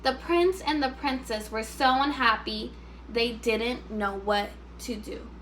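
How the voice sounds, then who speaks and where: A woman teacher reads to young children in an expressive, instructive way. The voice is fairly high and very bright, and there is faint noise in the recording. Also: slow pace, poor audio. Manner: expressive, instructive, repeats questions for pupils. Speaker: first grade teacher. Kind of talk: children's read-aloud with teaching questions.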